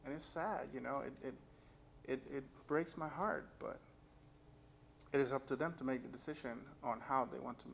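A man's voice: mid-range voice, steady tone, normal speed, gravelly, a little hiss or hum. A young man preaching from a church pulpit, sharing his own story and reading scripture aloud with earnest feeling. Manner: earnest personal testimony, reads scripture aloud. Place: church sanctuary stage. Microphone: stand mic at pulpit, far camera.

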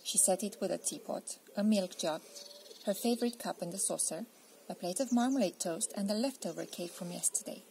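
A woman reading a children's picture book aloud, telling the story expressively and deliberately. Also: medium pitch, creaky, darkish tone, slight background noise. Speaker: storybook reader.

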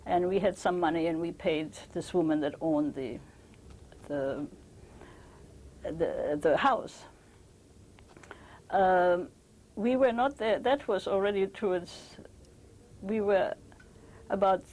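Older woman, low and mellow, halting. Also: rough recording. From Belgium. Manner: hesitant, halting, many restarts. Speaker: interviewee giving testimony. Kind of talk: oral history interview.

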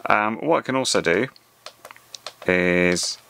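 Low-voiced man giving a casual tutorial. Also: slow pace, vocal fry, sharp tone, clean tone. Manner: conversational, explains step by step. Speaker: tutorial presenter. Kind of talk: casual spoken tutorial over a hands-on demonstration.

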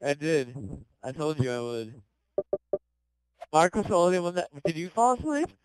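A man's voice: on the high side, big pitch swings, moderate pace, clean tone, no room sound, rough recording. A teenage boy chatting and laughing over a gaming headset while he plays, full of playful questions. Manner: chatty, giggly, playful would-you-rather questions. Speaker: gamer in voice chat. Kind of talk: casual party chat while playing.

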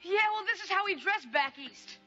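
A woman's voice: very high-pitched, expressive, moderate pace, pushed and bright, full character voice. A woman playing a plucky, cheeky young boy with an informal way of talking in a television tall tale. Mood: flustered. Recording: dull old TV soundtrack with music.

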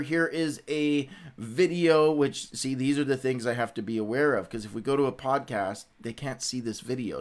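A middle-aged man hosting a livestream in casual, rambling, informal chat. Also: medium pitch. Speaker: host of a Bible read-along livestream.

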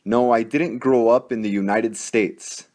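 A man teaching English, reading each drill sentence twice in a deliberate way. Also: medium pitch, fairly even intonation, a little mellow. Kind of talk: slow, repeated drill sentences for learners.

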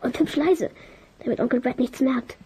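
An actor voicing an eager, cheeky child detective in a German children's radio play, excitable and quick to judge. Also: high-pitched, slightly rough. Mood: conspiratorial.

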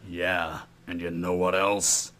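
A man's voice: sits low, takes it slowly. A man playing a surly, menacing, sardonic alien warrior in a cartoon parody, short-tempered and sarcastic. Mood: smug. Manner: deadpan sarcasm breaking into exasperated yells. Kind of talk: comedic anime parody voice acting.

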